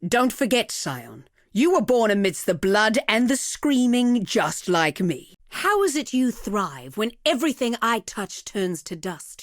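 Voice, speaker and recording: A woman's voice: medium pitch, dry recording, studio quality. An actress playing a cruel, haughty female villain in a video game, with a silky, mocking and condescending manner. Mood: haughty mockery, then bitter.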